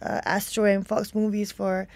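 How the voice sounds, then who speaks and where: A woman's voice: medium pitch, flat delivery, moderate pace, a little mellow, dry recording. A woman, an actress chatting bubbly in an interview. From Malaysia. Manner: bubbly, chatty, lots of fillers. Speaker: actress guest. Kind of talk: casual interview chat.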